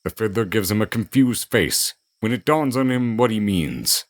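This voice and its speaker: A man's voice: on the low side, expressive, moderate pace. A man narrating a scripted fantasy audio drama in a dramatic storytelling style. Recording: music under the voice. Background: music.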